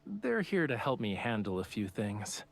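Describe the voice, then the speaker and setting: A man's voice: on the low side, sing-song, normal speed, vocal fry, heavily acted. An actor voicing a playful, self-assured young male artist in a romance video game, with a casual, lazily teasing manner. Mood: playful, calm.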